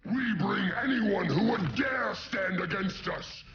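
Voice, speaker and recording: A man's voice: high voice, animated, takes it slowly, dull audio. A man voicing a huge, arrogant gorilla warlord in a game cutscene, speaking in a grandiose, imperious way. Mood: rallying. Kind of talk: video game cutscene acting. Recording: loud music behind.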